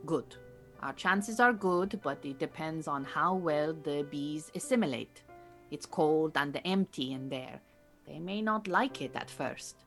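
An actress playing an earnest, proud Iranian refugee woman who mentors beekeepers in an audio drama, speaking in a measured, formal, precise way. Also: low-pitched, lively intonation, fairly bright tone, soft background music, heavily acted. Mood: calm, cautioning. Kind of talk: naturalistic audio drama acting.

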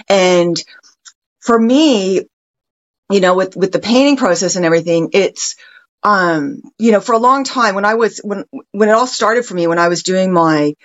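A woman's voice: medium pitch, moderate pace, dull audio. An American woman, a guest artist, talking in a casual podcast chat, reflective and meandering with frequent restarts. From United States.

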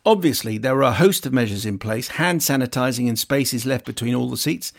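A man with a noticeably creaky, mid-pitched voice presents a radio show. He reads out correspondence conversationally, slipping in dry asides as he goes. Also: expressive, brisk. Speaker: radio film show host. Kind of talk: chatty presenting, reading listener emails aloud.

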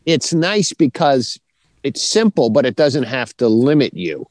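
A man's voice: medium pitch, very animated, a little slow, darkish tone, no room sound, studio quality. A man co-hosting a show about writing in a casual, conversational way.

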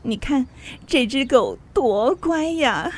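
A gossipy society lady in a radio drama. Her high voice has a husky edge and swings freely up and down as she chatters expressively. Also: lively intonation, slow, heavily acted. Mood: coaxing, chatty. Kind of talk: Mandarin literary radio drama acting.

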